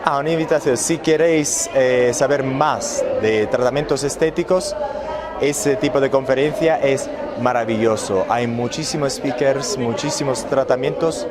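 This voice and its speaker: A man's voice: medium pitch, normal speed, echoey room, a loud crowd, heavy background noise. A man born in Italy, speaking as the founder of an aesthetics business, hesitant with many fillers and restarts. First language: Italian. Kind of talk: promotional talk and interview.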